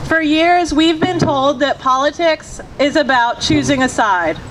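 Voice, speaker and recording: High-voiced woman giving a fiery rally speech. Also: unhurried. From Virginia. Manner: impassioned, rhythmic, building lists. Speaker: grassroots organizer. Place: outdoor rally. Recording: noisy outdoor rally.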